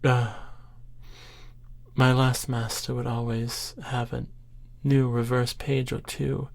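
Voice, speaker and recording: Man as timid little magical servant, slow, gravelly, obedient, for fantasy audio drama. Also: mid-range voice, little pitch movement. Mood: hesitant, helpful. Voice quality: gravelly, husky. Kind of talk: audio roleplay acting.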